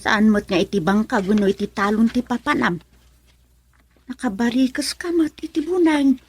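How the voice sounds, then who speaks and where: High-voiced, folksy village mother. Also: moderate pace, a little mellow, breathy edge, soft background music. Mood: curious. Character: homely village mother chatting with her grown son. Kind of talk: radio drama acting in Ilocano.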